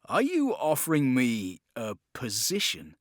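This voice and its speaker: A man's voice: medium pitch, wildly swooping pitch, unhurried, dry recording, clean studio sound. A man playing a proud, ambitious male assassin turning traitor in an audiobook, with a terse, guarded, menacing manner.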